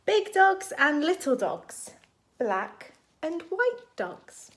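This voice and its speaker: A woman reading a picture book aloud to young children in an expressive, deliberate storytime style. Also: very high voice. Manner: expressive, animated, deliberate phrasing for small children.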